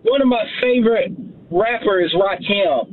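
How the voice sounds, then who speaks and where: A man's voice: high-pitched, a little slow. A man in his fifties calling in to a radio show, chatty and enthusiastic. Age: 50s. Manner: enthusiastic, chatty, tells stories. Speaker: caller. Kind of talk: phone-in chat. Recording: tinny phone line.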